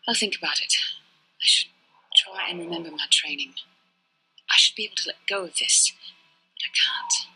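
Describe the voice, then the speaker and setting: A proud young Jedi woman for a video game, guarded and resentful toward family. The voice is very bright and forceful, set a little low, with formal, clipped, earnest phrasing. Faint noise and ambience sit behind it. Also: moderate pace, pauses often, poor audio. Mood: troubled, resentful. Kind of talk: dramatic scripted game dialogue.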